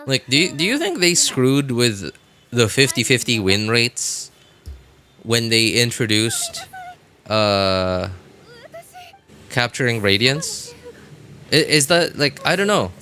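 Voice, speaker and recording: A man's voice: medium pitch, huge pitch swings, slow, long pauses, pushed and bright. A man chatting casually on a game livestream, talking to viewers in a hesitant way and often repeating his phrases. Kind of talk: casual livestream chat, reading and answering viewer comments. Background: background music.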